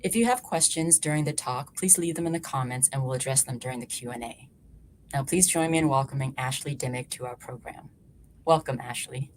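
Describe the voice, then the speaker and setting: A woman's voice: a bass voice, a little fast. A woman hosting an event, reading a scripted, formal introduction of a speaker. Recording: faint background noise.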